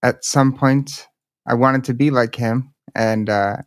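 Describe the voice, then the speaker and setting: A man's voice: medium pitch, fairly even intonation, slow, no echo. Casual man, dry close sound. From Chicago area, United States. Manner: casual, many fillers, asks questions. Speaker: podcast host. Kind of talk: podcast interview.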